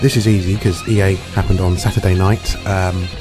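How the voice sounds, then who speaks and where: A hungover man with a gravelly voice and dry throat, rambling through casual, sweary commentary as a gaming reviewer. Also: low voice, level tone, moderate pace. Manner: rambling, chatty, swears freely. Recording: music playing underneath.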